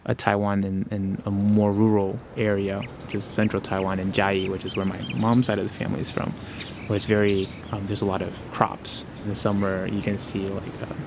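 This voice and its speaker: A man's voice: on the low side, creaky, background noise. A young man from the United States, a podcast guest, talking hesitantly with lots of fillers and restarts. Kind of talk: reflective personal interview.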